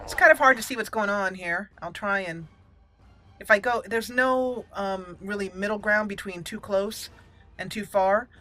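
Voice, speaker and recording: A woman hosts a casual let's play in a bright, clear-cut tone at a middling pitch. She thinks aloud and often repeats words as she talks through the game. Music plays faintly behind her. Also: moderate pace, rough recording. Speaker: gaming channel host.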